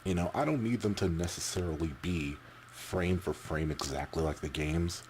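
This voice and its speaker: Very low-voiced man rambling casually. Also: darkish tone, slight background noise. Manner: halting, rambling, repeats words. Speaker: vlogger. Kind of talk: casual off-the-cuff opinion chat.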